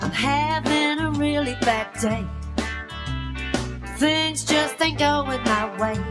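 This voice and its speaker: A woman with a British accent singing a grumbling comic song in a deadpan way. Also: high-pitched, slow pace. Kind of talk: comic song, sung to plucked guitar. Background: plucked guitar music.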